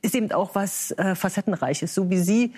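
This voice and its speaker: A woman's voice: medium pitch, lively intonation, a little mellow, slightly rough. A woman from East Germany, a guest on a talk show panel, arguing her point firmly with frequent hesitations. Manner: measured, many hesitations, firm.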